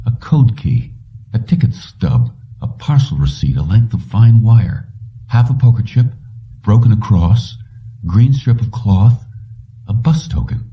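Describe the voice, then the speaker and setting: Man, low voice, scripted audiobook narration, slightly muffled, distant from the mic. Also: sing-song, unhurried.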